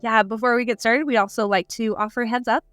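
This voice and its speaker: A woman's voice: a little high, steady tone, fast talker, fairly bright tone, no echo, soft background music. A woman co-hosting a podcast in upbeat, chatty casual conversation, laughing easily. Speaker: podcast co-host. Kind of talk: casual chat.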